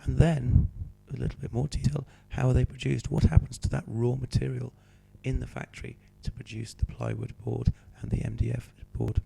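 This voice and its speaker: A male teacher with a cold, hoarse and croaky, patiently talking students through a homework sheet. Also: medium pitch, little pitch movement, moderate pace, few pauses, mellow, rough recording. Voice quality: croaky, slips into whispery breaks. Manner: patient, explaining step by step. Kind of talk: homework help walkthrough.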